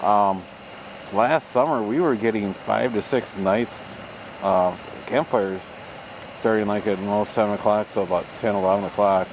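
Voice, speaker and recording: A man's voice: fairly low pitch, moderate pace. An American man giving a rambling, folksy, casual vlog narration, recorded outdoors on a handheld camera. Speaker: vlogger. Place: outdoors at a campground. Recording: outdoor camcorder with ambient vehicle noise.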